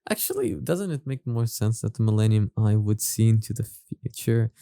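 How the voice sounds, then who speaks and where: A man hosting a reaction video, commenting casually and thinking out loud in a halting, hesitant way. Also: on the low side, animated, vocal fry, no room sound.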